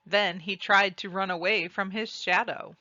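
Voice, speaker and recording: A woman reading a children's storybook aloud and describing its pictures, with deliberate, expressive phrasing. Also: medium pitch, no echo, dull audio. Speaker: read-aloud narrator.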